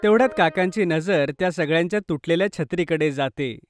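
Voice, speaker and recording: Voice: mid-range voice, a little fast, faint music behind. A narrator reading a scripted children's story.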